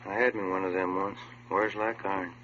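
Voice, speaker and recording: Detective sidekick: lowish, hard-edged, laconic, muffled vintage radio recording. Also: male voice, steady tone, brisk, pushed and bright, a little hiss or hum. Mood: casual. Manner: plain-spoken, laconic. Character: plain-spoken, dogged male police detective partner. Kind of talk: 1950s radio drama acting.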